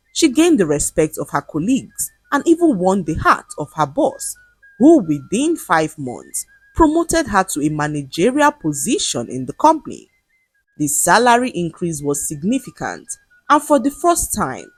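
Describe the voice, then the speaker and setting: A woman narrating a scripted folktale in a measured, expressive way. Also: fairly low pitch, husky, no echo. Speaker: storyteller.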